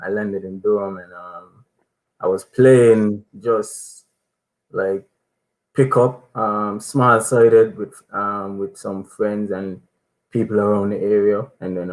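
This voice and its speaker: Young man from Saint Kitts, lowish voice, relaxed and soft-spoken, lots of ums. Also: rough recording. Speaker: footballer, interview guest. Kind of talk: casual interview answers.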